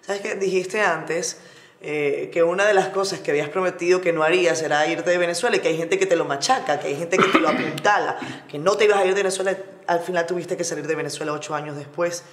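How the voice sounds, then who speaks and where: A woman host interviewing a guest, asking long, measured, probing questions. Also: lowish voice, reverberant.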